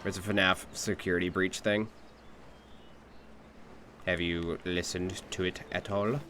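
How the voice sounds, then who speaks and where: A young man chatting casually on a game livestream, thinking aloud in a halting way. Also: low-pitched, little pitch movement, pushed and bright, slight background noise. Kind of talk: casual stream chat. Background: game music.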